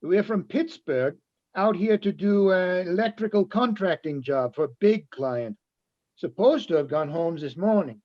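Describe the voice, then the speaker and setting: A man plays a jovial, semi-retired Austrian electrician in an old-time radio play. His voice sits high for a man, with a German accent and a folksy manner. The recording is dull and dry, with little room sound. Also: animated, a little slow, no echo. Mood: rueful. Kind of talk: amateur readers' theatre radio play.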